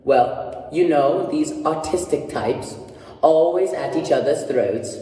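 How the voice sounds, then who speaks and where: Man playing haughty old society matron, slow delivery. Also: fairly high pitch, mellow. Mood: airily dismissive. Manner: pompous, genteel, drawling. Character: Euphronia, languid haughty dyspeptic old society mother. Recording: echoey room, distant microphone.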